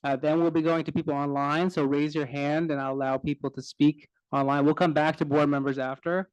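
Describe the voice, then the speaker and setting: A man with a smooth, clear voice runs the meeting, calling up speakers and keeping time. His tone is brisk and orderly, and the sound is dry and close. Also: fairly high pitch, flat delivery, dry recording, muffled recording. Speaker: meeting chair. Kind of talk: chairing a public meeting. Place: meeting hall.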